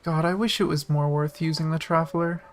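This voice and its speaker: A woman's voice: low voice, fairly even intonation, a little fast. A woman streamer giving casual live commentary while she plays a game.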